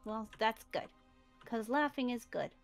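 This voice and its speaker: A woman plays a naive, cheerful kid wandering a ruined city, answering in short, simple, innocent lines. The voice sits a bit high, with faint music underneath. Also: expressive, measured pace, vocal fry. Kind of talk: Minecraft roleplay voice acting.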